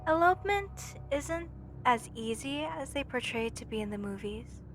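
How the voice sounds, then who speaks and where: A woman's voice: high voice, lively intonation, moderate pace, over music. A woman playing an obsessive, sweetly menacing mortician in a horror roleplay, with sugary, theatrical delivery and formal wording. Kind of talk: scripted horror roleplay monologue to listener.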